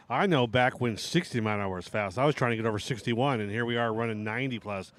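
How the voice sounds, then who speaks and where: A middle-aged man with a hoarse voice, casually commentating a live outdoor RC drag race. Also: medium pitch, quick pace. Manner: casual, rambling banter with restarts.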